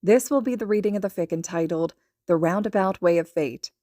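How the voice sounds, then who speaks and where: A woman's voice: fairly low pitch, fairly quick, mellow, no room sound, studio quality. A woman reading scripted fiction aloud as a podfic narrator. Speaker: podfic reader and host.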